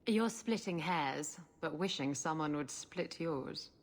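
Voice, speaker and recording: An actress playing a brazen, bawdy female rogue in a video game, husky-voiced, sly and teasing. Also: deep voice, animated, slow pace, full character voice. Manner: sly, mocking, drawling. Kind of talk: video game character acting.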